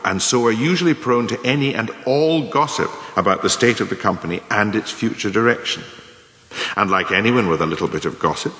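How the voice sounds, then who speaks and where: A man playing a male lecturer in a radio play, giving a measured, formal, didactic monologue on scheming career advancement. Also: deep voice, fairly bright tone. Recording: echoey room with long reverb.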